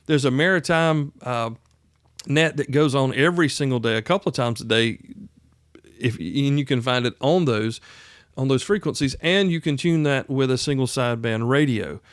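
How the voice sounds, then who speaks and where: A man's voice: medium pitch, normal speed, no room sound, clean studio sound. A man hosting an instructional podcast in a plain-spoken, folksy, emphatic way, often checking in with the listener. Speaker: podcast host, radio instructor.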